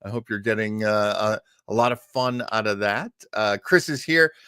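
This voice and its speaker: A man's voice: lowish voice, moderate pace, bright, forceful tone, clean tone, dry recording. A man hosting a casual livestream about old time radio, chatting in a rambling, jokey, self-deprecating way on a close microphone. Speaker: host of an old time radio show program.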